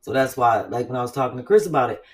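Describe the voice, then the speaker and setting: A woman hosting a casual chat, talking in an animated, blunt, profane way with quick asides. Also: very deep voice, far from the mic. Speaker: host.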